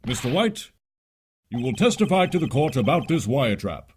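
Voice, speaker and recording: A man's voice: medium pitch, very animated, normal speed, a little mellow, slightly rough. A man voicing an authoritative but easily confused judge in a courtroom game fandub, with a solemn, commanding manner. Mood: puzzled, solemn.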